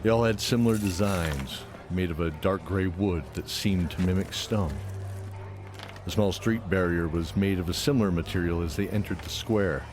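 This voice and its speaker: Deep-voiced male fantasy narrator. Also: moderate pace. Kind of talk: scripted dramatic fantasy narration.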